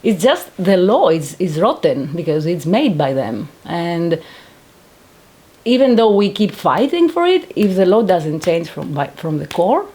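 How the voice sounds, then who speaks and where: A woman's voice: fairly low pitch, very animated, unhurried, mellow, husky. A woman in her thirties from Greece, speaking English with a Greek accent, giving earnest personal testimony and searching for words with frequent restarts. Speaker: painter and survivor giving testimony.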